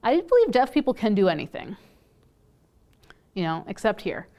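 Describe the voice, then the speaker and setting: A woman's voice: medium pitch, very animated, moderate pace, warm tone. A woman interpreting in the first person for a deaf man in a news interview.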